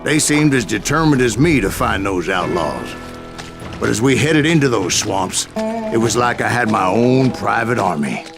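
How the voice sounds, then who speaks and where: An actor playing a gruff old American gunslinger who narrates his tall tales in a folksy, profane drawl. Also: mid-range voice, very animated, normal speed. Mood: wry storytelling. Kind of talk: scripted first-person storytelling narration. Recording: loud music behind the voice. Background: loud game music.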